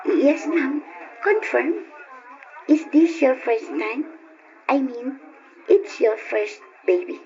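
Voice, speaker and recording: Casting: professional, instructive woman doctor for radio drama, fairly high pitch, faintly noisy muffled recording. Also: lively intonation, drawn-out words, pauses often, warm tone, full character voice. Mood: professional, friendly. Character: professional, friendly, advising female obstetric doctor. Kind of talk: old-time radio drama acting.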